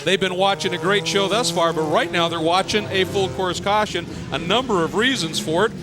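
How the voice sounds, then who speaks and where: A man calling a sports car race on television, excited and building with the action on track. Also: sits high, normal speed, music in the background. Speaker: play-by-play commentator. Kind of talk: TV motorsport commentary.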